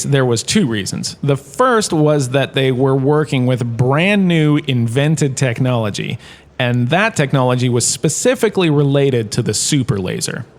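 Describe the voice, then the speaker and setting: A man playing a jaunty, opinionated history professor in a comedic audio drama lecture, chatty and theatrical, with emphatic pauses. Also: mid-range voice. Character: washed-up actor turned jaunty, opinionated male history professor.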